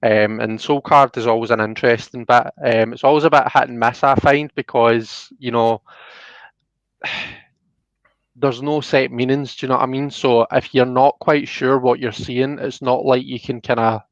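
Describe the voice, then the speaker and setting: A man's voice: mid-range voice, steady tone, moderate pace, no room sound. Man, live-stream host, informal and chatty, dry close sound, slightly muffled audio. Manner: chatty, informal, friendly greetings. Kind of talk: casual live-stream chat.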